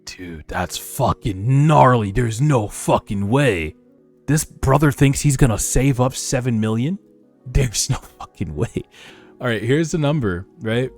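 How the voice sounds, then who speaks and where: A man's voice: mid-range voice, lively intonation, a little slow, darkish tone. A man giving casual, slangy reaction commentary as a streamer reading a webtoon aloud, with some swearing. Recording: music behind the voice. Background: background music.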